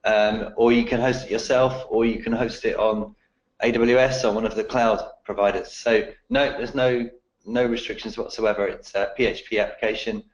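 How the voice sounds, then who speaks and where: A man with a croaky voice answering technical questions in a webinar, explaining in a steady way. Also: on the low side, normal speed, roomy sound, muffled recording.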